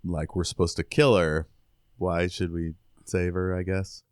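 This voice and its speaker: A man with a low, clean, resonant voice co-hosts a book podcast. He recaps the story casually, paraphrasing and raising questions. The sound is dry and free of room echo. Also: flat delivery, unhurried, smooth. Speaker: podcast co-host recapping a novel. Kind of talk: casual chat with read-out recaps.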